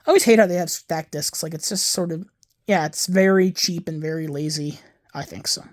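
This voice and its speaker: A man's voice: on the high side, fairly quick. Rambling young man showing off discs. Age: 20s. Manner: rambling, digressive, self-correcting; enthusiastic fan talk. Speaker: home video collector and YouTuber. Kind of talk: casual show-and-tell vlog.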